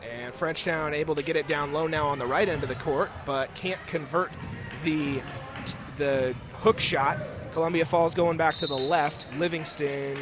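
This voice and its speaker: A man's voice: a little high, steady tone, normal speed, music in the background. A young man giving live play-by-play commentary of a basketball game as the announcer. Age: young adult. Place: indoor school gymnasium during a game. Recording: noisy gym ambience, bouncing basketballs. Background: game noise, basketball bounces.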